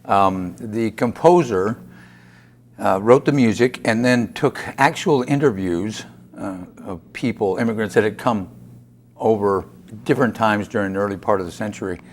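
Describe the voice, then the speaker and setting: A man, an actor being interviewed, talking conversationally in thoughtful, halting phrases. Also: on the low side. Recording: faint background noise, room ambience.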